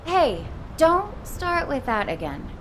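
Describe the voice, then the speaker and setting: A young military assistant from a video game, friendly and concerned. Her voice is high and very animated, with a faint rumble of noise behind it. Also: takes it slowly, exaggerated voice. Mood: worried. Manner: caring, pleading, expressive.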